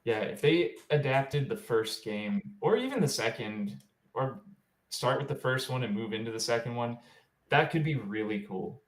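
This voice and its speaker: A roomy, echoing recording of a man with a medium-pitched voice. He talks casually as a podcast co-host, in an informal conversational way. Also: animated, moderate pace. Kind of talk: casual chat.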